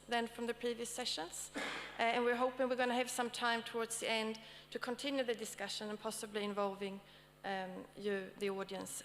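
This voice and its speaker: A woman's voice: on the high side, a bit bright. A woman with a Swedish accent moderating and opening a conference session, checking in with the audience often between fillers. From Sweden. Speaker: session moderator and academic speaker. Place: conference hall. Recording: long hall reverb, faint hum.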